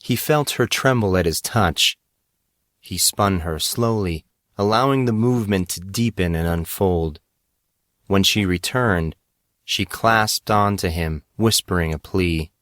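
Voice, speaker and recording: Low-voiced man narrating fiction. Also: a little slow, a bit bright, dry recording, clean studio sound.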